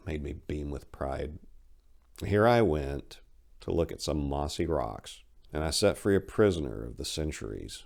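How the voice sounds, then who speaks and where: A man reading his own short story aloud as a dramatic, expressive narration of literary horror. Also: very deep voice, vocal fry.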